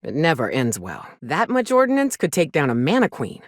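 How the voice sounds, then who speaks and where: An actress voicing a wry, plain-spoken frontier huntress with a folksy, sardonic manner in a video game. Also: female voice, deep voice, wildly swooping pitch, normal speed, no room sound, clean studio sound, exaggerated voice. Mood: wary, sardonic. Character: gruff, wry frontier woman, monster hunter and loyal companion. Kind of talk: video game companion dialogue.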